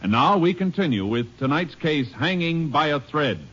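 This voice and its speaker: A man announcing a 1950s radio drama in a measured, formal way. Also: a little high, animated, a bit bright, slightly rough. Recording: dull lo-fi old radio broadcast.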